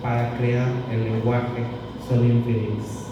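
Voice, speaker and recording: A man's voice: mid-range voice, monotone, highs cut off. Man speaking from far off in an echoey hall. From southern Veracruz, Mexico. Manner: measured, reflective, speaks in short phrases. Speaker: translator presenting a book. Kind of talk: public talk at a book presentation. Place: cultural center hall. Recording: echoey hall, distant mic, loud noise.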